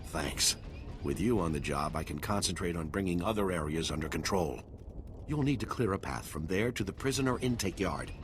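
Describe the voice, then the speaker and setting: An actor playing a weary, grim rescue commander in a video game, urgent and plain-spoken. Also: male voice, deep voice, animated, moderate pace. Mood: relieved, businesslike. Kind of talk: video game character acting. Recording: clearly noisy ambient game background.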